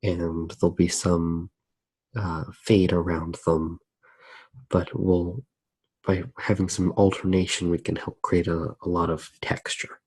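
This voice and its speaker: Deep gravelly guy doing asmr art tutorial, super hushed, takes his time, pauses a lot, close mic. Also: flat delivery, dry recording. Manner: hushed, unhurried, halting, with pauses mid-sentence. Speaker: ASMR artist, host. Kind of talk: ASMR drawing tutorial, narrated while working.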